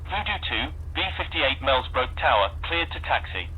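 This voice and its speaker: A terse, impersonal air traffic controller, played by a man, for a flight game. The voice sits at medium pitch and delivers formulaic clearances and advisories through a radio filter, with clear noise around it. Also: very animated, normal speed, dull audio. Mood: terse, procedural. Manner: terse, procedural. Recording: noisy aircraft radio transmission.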